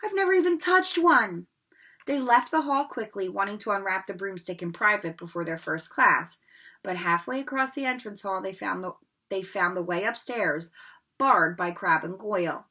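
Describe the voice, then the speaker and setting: A woman reading a children's novel aloud in an expressive storybook manner, with occasional slips and rereads. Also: medium pitch, normal speed. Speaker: read-aloud narrator.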